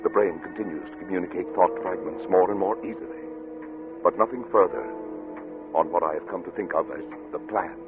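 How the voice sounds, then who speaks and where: An actor playing an obsessed, paranoid middle-aged scientist in theatrical, formal 1940s radio style. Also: male voice, low voice, fairly quick. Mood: brooding, haunted. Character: obsessed, paranoid male scientist, haunted and defiant. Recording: tinny old radio recording with music.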